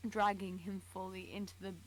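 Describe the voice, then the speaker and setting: A woman's voice: medium pitch, little pitch movement, normal speed. A woman narrating fan fiction dramatically on a live stream, stumbling over words and dropping into casual asides. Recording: clear background noise.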